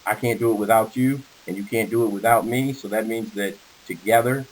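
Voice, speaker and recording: A man's voice: lowish voice, steady tone, a little fast, low-quality recording. An American man giving an informal sales presentation on a conference call, walking through slides as the presenter. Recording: hissy conference call audio.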